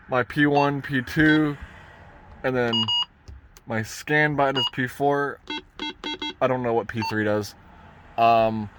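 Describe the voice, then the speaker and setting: A man talks through a do-it-yourself vehicle tutorial in a casual, unscripted way. His mid-pitched voice wanders as he thinks out loud. Faint noise from the truck cab sits behind him. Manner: informal, rambling, thinking aloud. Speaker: vlogger presenting a truck radio install. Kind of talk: casual hands-on tutorial talk. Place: inside a parked pickup truck cab.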